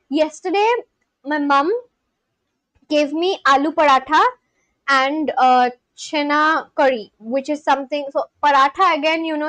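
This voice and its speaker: High-voiced woman chatting on stream. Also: fairly bright tone, no room sound, poor audio. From India. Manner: chatty, reads chat aloud and answers. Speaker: language-learning livestreamer. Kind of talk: casual live stream chat. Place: at home at a laptop.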